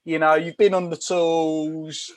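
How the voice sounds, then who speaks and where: A man's voice: on the high side, little pitch movement, takes it slowly, slight background noise. A British man co-hosting a podcast, joking and ribbing his friend in casual banter. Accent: British English. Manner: joking, mocking. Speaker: podcast co-host.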